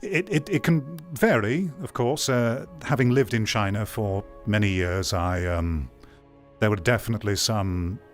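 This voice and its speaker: Low-pitched man giving blunt live commentary. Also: unhurried, faint music behind. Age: 30s. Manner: blunt, critical. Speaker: streamer reacting to a video. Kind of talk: off-the-cuff commentary.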